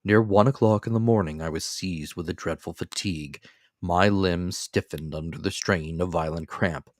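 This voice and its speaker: A man's voice: low voice, normal speed, no echo, clean studio sound. A man reading classic fiction as a dramatic, scripted audiobook narration. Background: faint music.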